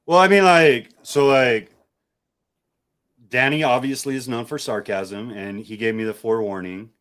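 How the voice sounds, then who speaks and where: A man hosting a casual podcast, talking in a relaxed, rambling way with lots of fillers. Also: medium pitch, normal speed, clean tone. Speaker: podcast host.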